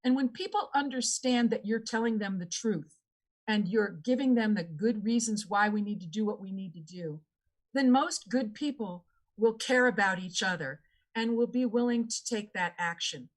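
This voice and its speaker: A woman's voice: mid-range voice, normal speed, rough recording. A woman answering as a candidate in a debate, making earnest, repetitive appeals to voters.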